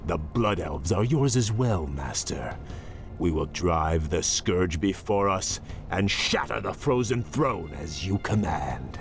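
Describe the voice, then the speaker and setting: A proud elf lieutenant from a fantasy game. His formal speech is deep and varied in pitch, with faint music underneath. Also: expressive, takes it slowly, full character voice. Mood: loyal, determined. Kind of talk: video game cinematic acting.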